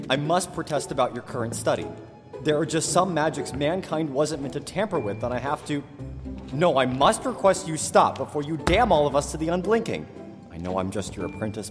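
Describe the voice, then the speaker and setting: A man's voice: mid-range voice, natural voice. An actor playing a young male wizard apprentice, exasperated and sarcastic, in a fantasy audio drama. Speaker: Udo. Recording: long reverb with music behind.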